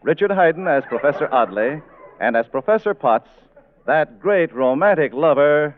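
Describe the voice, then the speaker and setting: A man's voice: on the high side, animated, normal speed. A man announcing and narrating a 1940s radio show in a showy, polished scripted style. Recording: muffled 1940s radio broadcast with music.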